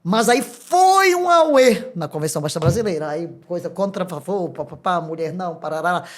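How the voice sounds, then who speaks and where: An older woman from Brazil with a Brazilian Portuguese accent, a pastor telling her life story as a podcast guest, lively and laughing often. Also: lowish voice, moderate pace. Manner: animated, laughs often, acts out quoted dialogue. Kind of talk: testimony storytelling.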